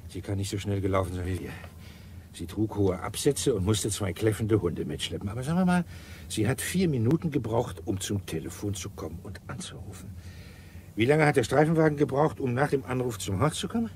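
An actor playing a shrewd, sardonic, dogged police inspector in a German radio crime drama, commanding and dry with muttered asides. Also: male voice, lowish voice, animated, a little fast, darkish tone, a little hiss or hum. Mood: probing.

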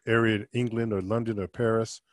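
Man, low voice, dry sound, rambling interview questions. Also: level tone, brisk, no room sound. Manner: rambling, loosely built questions. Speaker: co-host asking questions.

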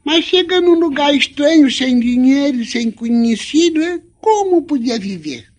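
A woman's voice: sits high, lively intonation, unhurried, a little mellow. An actress playing a nosy, easily amazed country woman in a Brazilian radio drama. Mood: puzzled.

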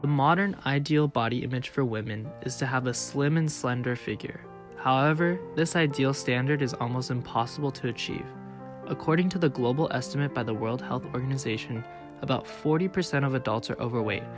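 Young man reading student documentary narration. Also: mid-range voice. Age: young adult. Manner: even, scripted reading. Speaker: narrator and interviewer. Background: guitar music.

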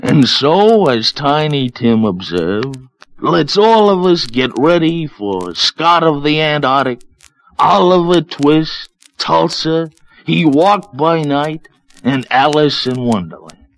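A pompous radio-play narrator acted by a man on a scratchy old acetate disc. He speaks in a slow, measured way with broad swoops of pitch, in the grand manner of vintage radio acting. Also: animated. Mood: grandly sentimental. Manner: pompous, theatrical storytelling. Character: grumbling, theatrical male narrator of a Christmas parody. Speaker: radio actor. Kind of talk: 1930s-40s radio acting.

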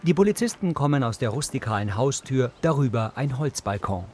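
A man's voice: medium pitch, lively intonation, brisk, music in the background. A man narrating scenes in a neutral, descriptive audio-description style. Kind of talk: scripted narration.

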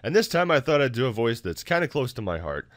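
A man hosting a software tutorial in an informal, self-deprecating, explanatory way, recorded in a home office. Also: medium pitch, big pitch swings, fast talker, no echo. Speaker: tutorial host.

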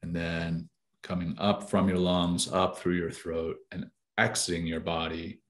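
A man leading a guided meditation, giving calm, soothing and deliberate instructions. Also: low voice.

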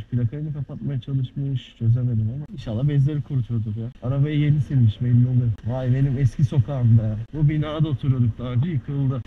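A man chatting casually as he rides a scooter through city traffic, explaining the bike as he goes. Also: on the high side. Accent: Istanbul Turkish. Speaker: motovlogger. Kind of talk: casual riding commentary. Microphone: helmet-mounted action camera. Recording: muffled action-camera audio with faint traffic noise.

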